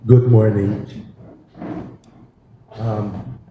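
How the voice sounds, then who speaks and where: Hoarse, slow-talking Filipino man. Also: on the low side, flat delivery. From Philippines. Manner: rambling, with Tagalog asides and tag "no?". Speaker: guest speaker. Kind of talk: informal talk to an audience. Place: conference hall. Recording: echoey hall, dull lo-fi sound, faint noise.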